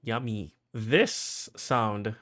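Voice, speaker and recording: A man with a Trinidadian accent casually talking through how he produced a track, chatty and full of fillers, getting excited as he goes. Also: on the low side, drawn-out words, very noisy. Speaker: music producer.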